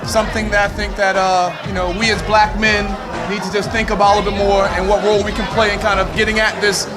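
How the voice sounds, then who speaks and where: A man's voice: sits high, level tone, quick pace. An American man giving a casual spoken review as an audience member. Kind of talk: casual vox-pop testimonial. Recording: loud crowd and music around.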